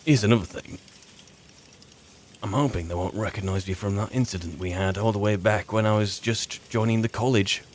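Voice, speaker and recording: A weary British servant turned adventurer, played by a man in a fantasy game roleplay. His voice sits fairly low, and he rambles wryly and haltingly, as if musing to his companions. There is faint background noise and ambience behind him. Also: natural voice. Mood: chatty planning. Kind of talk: in-character game roleplay monologue.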